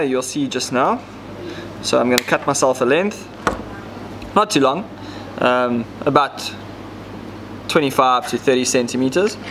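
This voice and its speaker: Relaxed man demonstrating tackle. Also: on the high side, slight background noise. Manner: relaxed, explains step by step with frequent ums. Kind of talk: casual how-to demonstration. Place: indoor tackle shop counter.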